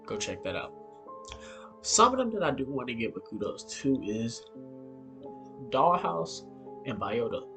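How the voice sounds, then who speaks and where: A man's voice: mid-range voice, very animated, a little slow, gravelly, long pauses. A man hosting an online video in a casual explainer style, informal and offhand, sometimes stumbling over his words. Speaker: YouTube video host. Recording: roomy echo, music behind. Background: background music.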